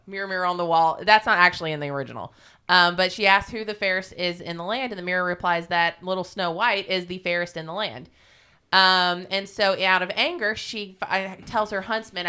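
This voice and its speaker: A woman's voice: lowish voice, pushed and bright, smooth. A woman podcast co-host casually retelling a fairy tale in an irreverent, salty-tongued, informal way.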